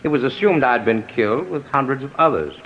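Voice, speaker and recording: An actor in a 1940s radio drama playing a cold, commanding, mocking brother, curt and imperious. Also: male voice, medium pitch, animated, brisk, lightly acted. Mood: mocking, stern. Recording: dull, noisy 1940s radio recording.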